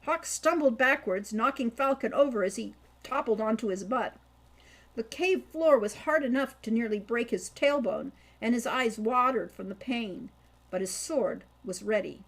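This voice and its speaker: A woman's voice: on the high side, normal speed. A woman reading scripted fiction aloud as an audiobook narrator. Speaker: audiobook reader, author.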